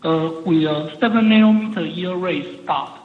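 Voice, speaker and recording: Higher-voiced man on an echoey phone line. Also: measured pace. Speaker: financial analyst caller. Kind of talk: asking a question on an earnings call.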